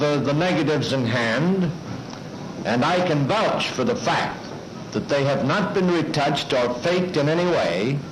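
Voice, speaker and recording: A man reading a measured, official statement, recorded on an old 1950s newsreel soundtrack. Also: on the high side. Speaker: news photo executive. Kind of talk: formal prepared statement. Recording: echoey, faintly noisy 1950s film soundtrack.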